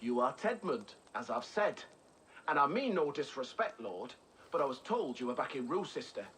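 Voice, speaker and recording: A man's voice: mid-range voice, very animated, moderate pace, a bit bright, slight background noise. A man voicing a suspicious but deferential soldier captain in a video game, speaking hesitantly to his lord. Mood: puzzled, deferential. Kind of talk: video game story acting.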